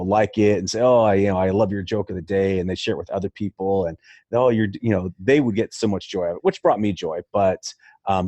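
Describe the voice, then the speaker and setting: Low-voiced man, close dry sound. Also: warm tone, dry recording. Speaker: podcast host. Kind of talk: casual interview chat.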